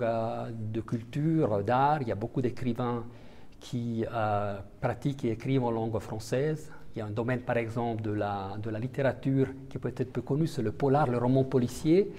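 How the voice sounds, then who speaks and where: Hesitant diplomat, mellow voice. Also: male voice, mid-range voice, moderate pace, a little hiss or hum. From Italian-speaking Switzerland. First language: Italian. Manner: hesitant, many fillers and restarts. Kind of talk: interview answers.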